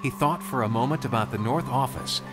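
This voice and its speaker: A man's voice: mid-range voice, brisk. A man narrating a scripted story dramatically, with conversational asides. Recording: music under the voice.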